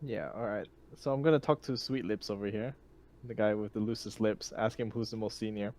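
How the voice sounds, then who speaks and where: A man's voice: on the low side, a little fast, darkish tone. A man in a tabletop roleplaying game, talking casually as a sergeant in a blunt, joking way. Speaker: player as sergeant.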